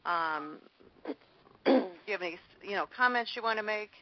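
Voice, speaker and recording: A woman's voice: mid-range voice, lively intonation, moderate pace, noisy background. A woman hosting a casual chat, rambling with frequent fillers. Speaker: host. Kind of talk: casual conversation. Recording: dull lo-fi audio.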